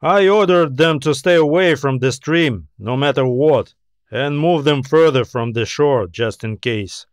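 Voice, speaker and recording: A man's voice: medium pitch, measured pace, smooth, no room sound, natural voice. A man voicing a self-assured, grim military colonel who gives formal, confident reports in a science fiction audiobook.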